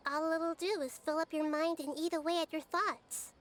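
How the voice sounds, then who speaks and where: A woman voicing a gentle, wise, childlike girl deity in a video game, speaking thoughtfully and articulately. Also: high voice, fairly even intonation, normal speed. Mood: gently admonishing.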